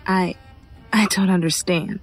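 A woman's voice: lowish voice, fairly even intonation, measured pace, faint music behind. An actress playing a guarded, solitary woman in an audio horror drama, dry and sceptical yet curious, hesitant when pressed. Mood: uneasy, curious.

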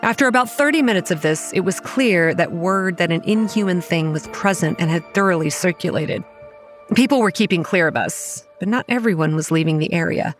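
A young woman playing a wry, anxious campground manager, giving measured first-person narration in a horror audio drama. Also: lowish voice, soft background music, close to an everyday voice. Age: young adult.

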